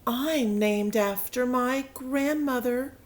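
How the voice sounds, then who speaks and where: A woman's voice: high voice, slow, voice pushed up. A woman voicing a smug, know-it-all little schoolgirl in a children's storybook.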